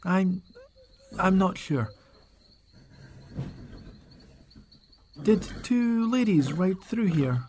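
An earnest young lord, acted by a man, in an audio drama. His voice sits high for a man and his speech is polite and a little formal. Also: expressive, slow pace, a little mellow, slight background noise. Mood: uneasy. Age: young adult. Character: earnest, worried young nobleman riding out alone.

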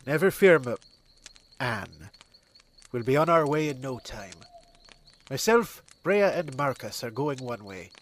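A man playing a loyal, steady guardsman in an audio drama, respectful and reassuring. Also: mid-range voice, a little slow, pauses often, natural voice.

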